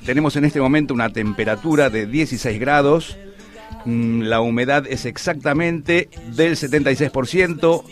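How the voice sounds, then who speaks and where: Jokey Argentine radio co-host. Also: male voice, medium pitch, moderate pace, faint music behind. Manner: jokey, loose. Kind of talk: comedy radio banter.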